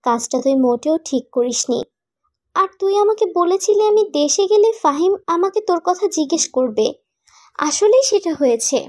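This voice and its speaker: A woman acts a loyal, inquisitive young female friend in a cartoon love story. The voice is high and close, very dry. The delivery is questioning and gently reproachful. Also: animated, normal speed, no room sound. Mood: earnest, reproachful. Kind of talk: animated romantic drama dialogue.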